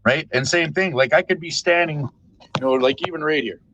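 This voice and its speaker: A man's voice: a little high, moderate pace, sharp tone, highs cut off. A man in his thirties speaking earnestly on a video call, tagging his points with "right?" and "you know". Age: 30s. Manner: earnest, repetitive, tags "right?". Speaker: podcast guest. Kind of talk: casual panel discussion.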